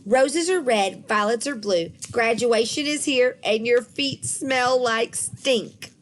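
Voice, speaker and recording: A woman acts out a cheeky little schoolgirl from a children's storybook. She talks in childish grammar, bouncy and emphatic, full of eager excitement. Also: a little high, slow pace, slight background noise.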